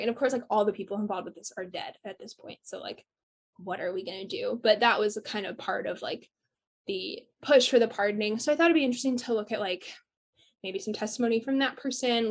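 A young woman with a mid-range voice, chatting loosely. She wanders through her points and keeps saying "like" between phrases. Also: mid-range voice. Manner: rambling, heavy "like" fillers. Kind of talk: casual chat.

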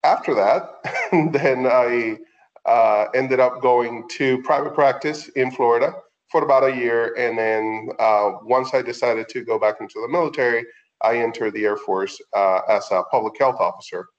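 A middle-aged man with a Puerto Rican accent and Spanish as his first language speaks in a conversational interview style. His pitch is average and his phrasing is halting. The recording is a little dull and lo-fi. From Puerto Rico. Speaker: veterinarian, podcast guest.

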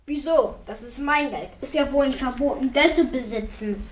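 A woman plays a shameless male blackmailer in an amateur radio play. The voice is high and full of defiant indignation. It sounds roomy and echoing. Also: normal speed, lightly acted. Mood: indignant.

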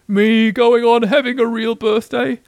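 A man's voice: high voice, fairly even intonation, moderate pace, clear, resonant tone, no echo, voice pushed up. Man as a gloomy, ponderous donkey. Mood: disbelieving. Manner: mournful, ponderous, repetitive. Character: gloomy, slow-witted, self-pitying old donkey.

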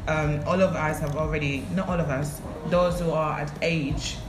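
A young woman with a low, deep-set voice talks reflectively, pausing on fillers and restarting her sentences. The sound rings in an echoey room. A steady hiss of noise sits behind her. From Angola. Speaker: guest. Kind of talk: casual conversation.

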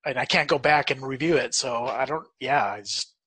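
A man's voice: medium pitch, normal speed, sharp tone, husky. A man on a podcast panel, chatting casually and a little hesitantly as he searches for words.

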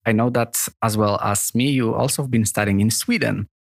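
A man's voice: medium pitch, fairly quick, gravelly, no room sound, clean studio sound. A man hosting a podcast, speaking in an earnest, careful and slightly formal way as he introduces and interviews.

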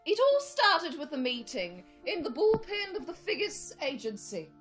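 A woman's voice: very high-pitched, huge pitch swings, normal speed, a bit bright, faint music behind, voice pushed up, heavily acted. A woman putting on the voice of a prim elderly British lady to read a story aloud, with a put-on British accent and a theatrical manner. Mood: storytelling. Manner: theatrical, giggling.

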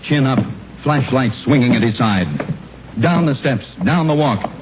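A man's voice: mid-range voice, lively intonation, measured pace, a little hiss or hum. A man working as a 1940s radio announcer, delivering scripted lines with dramatic, polished emphasis. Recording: thin, narrowband old radio recording.

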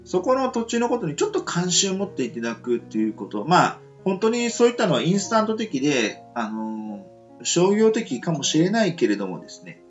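A man's voice: on the high side, big pitch swings, fairly quick, muffled recording, soft background music. A man co-hosting a casual radio chat, rambling with many fillers. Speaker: radio show co-host.

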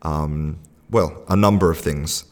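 A man's voice: extremely low pitch, slow pace, darkish tone, long reverb tail. A man hosting a podcast, telling a casual story with lots of restarts and fillers. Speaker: podcast host. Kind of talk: casual spoken podcast monologue.

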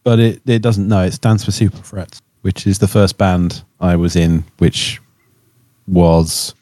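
Really low voiced guy, dark soft tone, rambling jokey podcast co-host. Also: level tone, unhurried, no echo. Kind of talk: casual chat.

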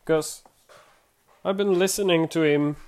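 A podcast host from Sweden, a native Swedish speaker with a Swedish accent, talking casually in English and often pausing to search for words. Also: mid-range voice, fairly even intonation, darkish tone.

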